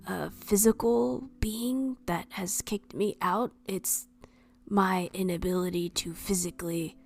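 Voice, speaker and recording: A woman voicing a courteous, hesitant male vampire in a tabletop game, with a husky, creaky voice. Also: fairly low pitch, exaggerated voice. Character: courteous male vampire, self-conscious, barred from holy places. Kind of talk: tabletop roleplay.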